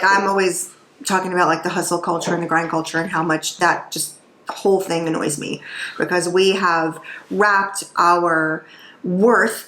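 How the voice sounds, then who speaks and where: A woman co-hosting a travel vlog in casual chat, a little nasal from a head cold, talking in a loose, animated way. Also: fairly low pitch, roomy sound, rough recording. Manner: chatty, animated, loose and conversational. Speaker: vlog co-host.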